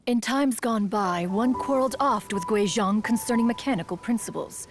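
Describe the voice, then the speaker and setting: A woman's voice: fairly high pitch, normal speed, close to an everyday voice. Woman doing proud old immortal bird lady, pompous archaic talk, game storytelling, big echo, music playing. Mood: solemn. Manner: formal, archaic, pompous diction. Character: proud ancient immortal bird sage, female, wistful and haughty. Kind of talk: scripted game dialogue, storytelling. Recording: long hall reverb, music behind.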